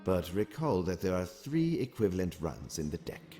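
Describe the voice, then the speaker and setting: Casting: alien military tactician, audio comic. Very low register, formal, measured, coolly intellectual, expressive contour. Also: male voice, faint music behind. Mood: calm, calculating. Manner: formal, measured, precise wording. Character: calm, cerebral male alien military cadet and strategist. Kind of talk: scripted audio comic acting.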